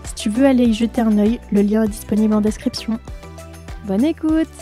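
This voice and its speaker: A woman's voice: on the high side, fairly quick, mellow, soft background music. Upbeat woman hosting a podcast. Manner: upbeat, chatty, uses fillers. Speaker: podcast host. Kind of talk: scripted intro, then casual interview.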